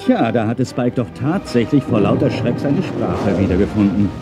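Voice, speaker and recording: A man's voice: medium pitch, expressive, normal speed. A man narrating a children's audio drama in a dramatic storytelling manner. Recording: music behind the voice. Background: music.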